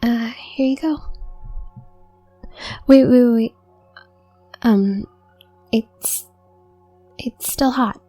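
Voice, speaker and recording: Woman acting a shy, stammering young witch, slow and hesitant. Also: on the high side, gravelly, warm tone, husky, heavily acted. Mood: hesitant, nervous. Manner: nervous stutter, trailing off, hesitant. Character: shy, flustered young student witch with a secret crush. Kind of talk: intimate audio roleplay, soft-spoken acting.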